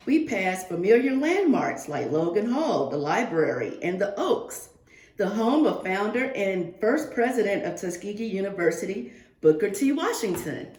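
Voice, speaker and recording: A woman's voice: mid-range voice, big pitch swings, normal speed, darkish tone. A woman reading a picture book aloud to young pupils, expressively, with asides and questions addressed to the children. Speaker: guest reader for a second-grade class. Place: indoors, reading remotely to a class. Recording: roomy, echoing sound of a virtual class reading.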